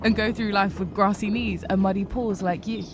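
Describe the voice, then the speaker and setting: A woman's voice: mid-range voice, fairly even intonation, fairly quick, noisy background, close to an everyday voice. A woman voicing an earnest young female rabbit apprentice healer in a fantasy game, polite and restrained. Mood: dry. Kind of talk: visual novel voice acting.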